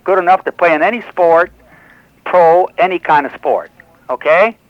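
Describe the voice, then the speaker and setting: A man's voice: high-pitched, normal speed, no room sound. A seventy-year-old American man calling a radio show, chatting eagerly about sports and cutting in. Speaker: phone-in caller. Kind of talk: casual sports chat. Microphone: telephone. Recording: dull radio phone-in line.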